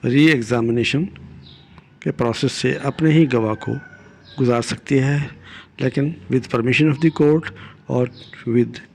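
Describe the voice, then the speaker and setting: An older man with a Pakistani accent gives a deliberate, didactic law lecture, repeating key phrases and switching into English legal terms. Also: mid-range voice, gravelly, darkish tone. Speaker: retired judge giving legal lessons.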